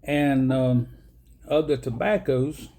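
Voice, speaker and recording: A man's voice: medium pitch, slow pace, warm tone. A man, casually rambling through a show-and-tell vlog with many ums and uhs. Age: 50s. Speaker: pipe-smoking vlogger.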